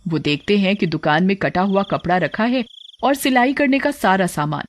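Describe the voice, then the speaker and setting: A woman reading a scripted cartoon story as narrator, in a plain, measured storytelling manner. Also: mid-range voice, animated.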